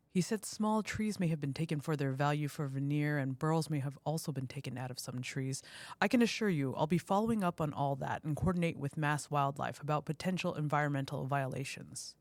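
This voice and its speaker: A woman playing a cool police detective in an audio drama, speaking in a measured, official and firm way. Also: low voice, darkish tone, dry recording. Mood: stern, cautioning.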